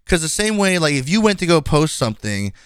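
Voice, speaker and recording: A man's voice: on the high side, very animated, a little fast, clear, resonant tone, no echo, clean studio sound. A man in his twenties hosting a casual podcast conversation, speaking frankly and rambling with many fillers and restarts. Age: 20s. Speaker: podcast host.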